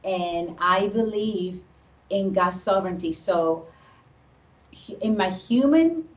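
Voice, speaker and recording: A woman's voice: mid-range voice, expressive. A woman from Puerto Rico, a pastor's wife, talking earnestly about her faith as a guest in a casual interview. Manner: earnest, faith-filled, speaks slowly with restarts. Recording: echoey, distant, dull remote audio.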